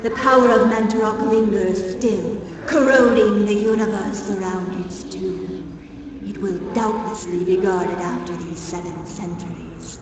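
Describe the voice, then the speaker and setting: Imperious eldritch deity, female actor: slow, menacing, archaic horror game delivery, drenched in distant reverb. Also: medium pitch, fairly even intonation, a crowd in the background, lightly acted. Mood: ominous warning. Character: imperious, ominous ancient godlike being, distrustful of mortals. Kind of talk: theatrical horror game acting. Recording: cavernous reverb, distant, heavy ambient noise.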